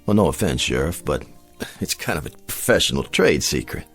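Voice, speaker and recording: In this western radio drama a slick, grandiose American frontier showman. His voice sits deep and swings widely as he sells his wonders with folksy, theatrical flourish. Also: big pitch swings, fairly quick, gravelly, fairly bright tone, slightly rough, soft background music, full character voice. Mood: sly, boastful. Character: smooth-talking frontier showman who claims to raise the dead.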